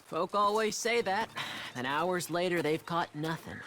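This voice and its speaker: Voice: mid-range voice, a little slow, fairly bright tone, slight background noise, close to an everyday voice. A performer playing an earnest, cheeky young frontier boy with an American Western accent, in a video game. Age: child.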